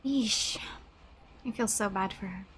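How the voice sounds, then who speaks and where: A woman's voice: medium pitch, measured pace, fairly bright tone, a little hiss or hum. A woman giving casual let's-play commentary, reacting aloud and empathetically to a game's story. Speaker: gaming YouTuber.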